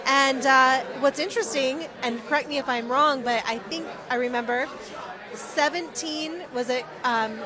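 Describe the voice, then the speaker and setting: A woman's voice: sits high, a little slow. A woman reporter doing a conversational on-location interview, speaking in an effusive, supportive way. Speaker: TV correspondent interviewing. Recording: crowd chatter around the voice. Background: crowd at an event.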